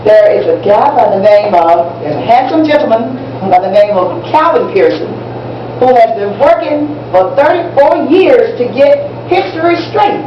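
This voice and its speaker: A woman's voice: a little high, expressive, moderate pace, lightly acted. A woman playing an enthusiastic American schoolteacher on stage in an amateur play, speaking didactically and repeating and spelling out key words. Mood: earnest, proud. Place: indoor room at a public library. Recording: distant, echoey, muffled, noisy room recording.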